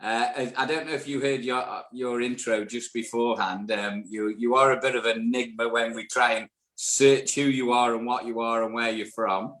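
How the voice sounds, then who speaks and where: A man's voice: medium pitch, fairly even intonation, moderate pace, hardly any pauses, echoey room. A British man hosting a podcast, welcoming a guest with plenty of fillers. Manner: warm welcoming intro with fillers. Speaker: podcast host. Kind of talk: casual podcast interview.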